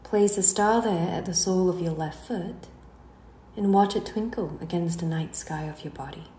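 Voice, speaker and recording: A woman guiding a meditation in a slow, soothing delivery, letting the ends of her phrases trail away softly. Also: lowish voice. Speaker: guided meditation instructor. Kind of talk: slow guided relaxation narration. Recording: roomy echo, faint background noise.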